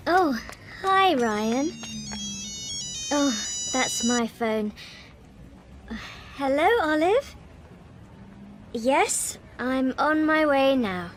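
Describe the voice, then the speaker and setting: A woman with a high voice acts out simple learner dialogues, speaking slowly and clearly. A faint noise runs behind her. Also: expressive.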